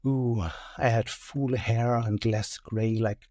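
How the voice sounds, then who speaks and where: A man's voice: mid-range voice, fairly even intonation, slow, a little mellow, no room sound, clean studio sound. A man in his forties from Germany with a German accent, chatting casually and joking about himself as a podcast guest. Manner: chatty, self-deprecating, joking. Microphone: studio mic.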